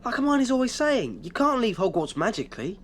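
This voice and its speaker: A woman's voice: a little high, big pitch swings, a little fast. A woman voicing a loyal, matey teenage schoolboy in a video game, with a British accent. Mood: reassuring. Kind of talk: video game cutscene acting.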